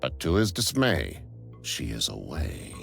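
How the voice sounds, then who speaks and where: A man playing a pompous stage narrator in a game, delivering grand, portentous, gravelly melodrama. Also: extremely low pitch, wildly swooping pitch, measured pace, soft background music, full character voice. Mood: ominous. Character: theatrical male stage narrator, ominous and grand.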